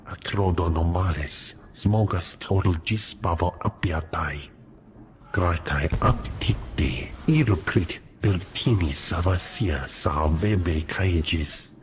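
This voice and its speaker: A deep, slow alien informant. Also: male voice, soft background music. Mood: alien chatter. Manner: unhurried, chanting alien gibberish. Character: male alien informant speaking an alien tongue. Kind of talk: video game voice acting.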